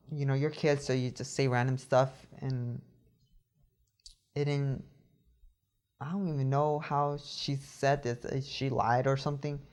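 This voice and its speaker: A young man giving an earnest, reflective account, thinking aloud and often adding "you know". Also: medium pitch. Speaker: interviewee.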